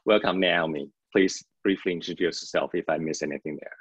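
A young man moderating an online panel, introducing guests in a measured, formal way with small fillers. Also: low-pitched, steady tone, slightly rough, no room sound. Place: indoor home office on a video call. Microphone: video call. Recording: dull video call audio.

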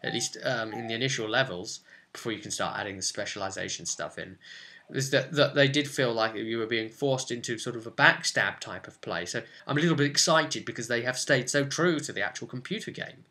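A man's voice: medium pitch, a little fast, pushed and bright. A man in his twenties hosting an informal gaming explainer at home, talking in a rambling, conversational way with frequent tag questions. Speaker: tabletop gaming channel host. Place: small furnished room at home, indoors.

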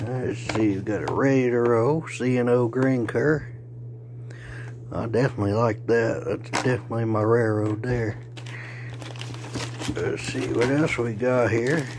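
A man, hoarse and losing his voice, chatting in a folksy, joking way while vlogging on a phone held at arm's length. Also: medium pitch, steady tone, a little slow, long pauses, noisy background. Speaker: railfan vlogger. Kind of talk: casual folksy vlog. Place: outdoors by a car, then indoors at home.

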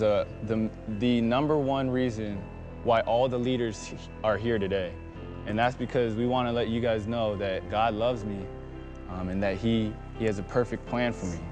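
A young American man in his twenties, speaking earnestly and reflectively about his life in a documentary. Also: mid-range voice. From United States. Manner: earnest, reflective, plain-spoken. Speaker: basketball player. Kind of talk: documentary talk and speech. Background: music.

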